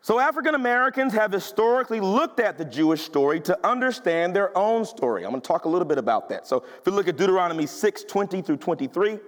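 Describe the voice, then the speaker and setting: Male pastor, very high voice, punchy conversational preaching, church with long echo. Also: expressive, fast, hardly any pauses. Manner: emphatic, conversational preaching with rhetorical questions. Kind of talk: sermon. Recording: long hall reverb.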